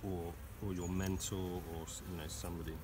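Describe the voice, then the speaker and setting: A man with a London accent teaching a student beekeeping at the hives, explaining step by step in a relaxed way with restarts. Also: low voice, fairly quick. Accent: London English. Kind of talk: hands-on teaching chat. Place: outdoor community garden by beehives. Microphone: camera nearby, outdoors. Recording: outdoor recording with noise behind. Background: buzzing bees and outdoor ambience.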